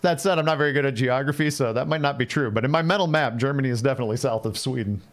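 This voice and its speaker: A man's voice: medium pitch, racing, soft background music. Man casually commentating on a game livestream. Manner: playful, thinking aloud, repeats phrases. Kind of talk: casual live gameplay commentary, chatting with viewers.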